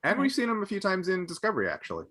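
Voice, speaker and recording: A man's voice: high voice, wildly swooping pitch, very fast, fairly bright tone, slight background noise. A man co-hosting a casual panel chat podcast, talking in a rambling, anecdotal way. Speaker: podcast co-host.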